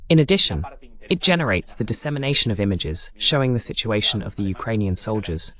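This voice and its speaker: A man's voice: lowish voice, animated, fairly quick, fairly bright tone. A man hosting a political analysis monologue, explaining emphatically with rhetorical questions and lists. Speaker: host and political commentator.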